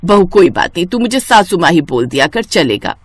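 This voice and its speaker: A woman's voice: lowish voice, big pitch swings, fairly quick, husky, dry recording, clean studio sound. A woman voicing an anxious, gossipy middle-aged mother-in-law with a dramatic, grumbling manner. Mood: nervous.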